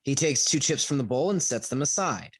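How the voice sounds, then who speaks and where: A man's voice: mid-range voice, lively intonation, fairly quick, breathy edge. A man reading stage directions matter-of-factly at a table read over a video call. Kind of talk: scripted narration at a table read. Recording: noisy video call with clicks.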